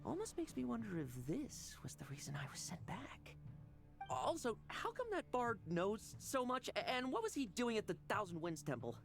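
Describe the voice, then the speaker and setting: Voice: medium pitch, big pitch swings, normal speed, vocal fry, fairly bright tone, faint music behind. A performer voicing a blunt, eager young warrior who loves a fight, in a video game. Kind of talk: game dub acting.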